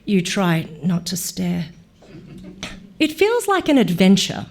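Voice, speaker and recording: An Australian woman, an actor reading a literary short story aloud with measured, deliberate phrasing. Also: medium pitch, sing-song, darkish tone.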